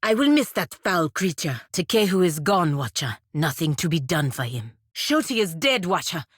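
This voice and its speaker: A woman's voice: lowish voice, sing-song, normal speed, slightly rough, no room sound, studio quality. An actress voicing a proud, sardonic woman paladin in a video game, with a formal, clipped, commanding manner. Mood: grave. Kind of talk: video game character voice lines and battle barks.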